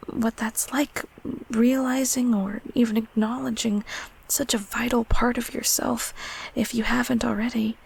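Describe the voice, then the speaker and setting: A gentle monster from the closet, acted by a woman, affectionate and comforting in an intimate audio drama. The voice is fairly high yet whispery, with a crackle of fry. The delivery is hesitant and soft, meant to reassure. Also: exaggerated voice. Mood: reflective, tender. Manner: slow, hesitant, tenderly reassuring.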